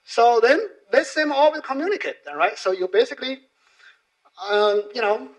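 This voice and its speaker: High-pitched, animated Chinese-accented man presenting. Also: normal speed, poor audio. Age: middle-aged. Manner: non-native English with frequent tag questions. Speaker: conference speaker. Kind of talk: conference presentation, informal and explanatory.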